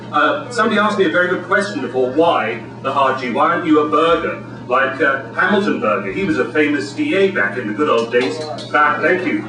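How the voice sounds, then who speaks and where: A man giving a brisk, combative campaign speech at a community meeting. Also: on the high side. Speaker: candidate for city attorney. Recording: very echoey hall from far off.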